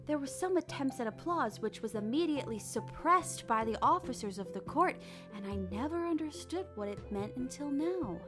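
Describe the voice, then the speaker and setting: A woman's voice: high voice, expressive, normal speed. A woman playing a curious, polite, outspoken little girl in an audio drama. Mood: musing. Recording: music under the voice.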